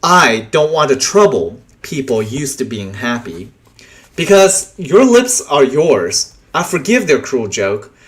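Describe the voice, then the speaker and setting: A man reads poetry aloud at a slow, deliberate pace. His medium-pitched voice rises and falls widely, with pauses setting short phrases apart. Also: sing-song. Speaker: poetry reader. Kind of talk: reading translated poems aloud.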